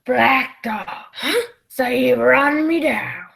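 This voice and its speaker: Gruff old sailor captain, slow, husky, fierce or pleading, radio drama. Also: female voice, high voice, a bit bright, heavily acted. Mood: gruff, wary. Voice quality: raspy, gravelly. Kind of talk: radio play acting.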